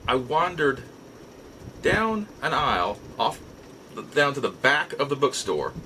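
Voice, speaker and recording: Bright-voiced American man telling stories, halting delivery. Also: on the high side, a little hiss or hum, poor audio. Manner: halting, reflective, with drawn-out pauses. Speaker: podcast host. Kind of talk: casual nostalgic storytelling monologue.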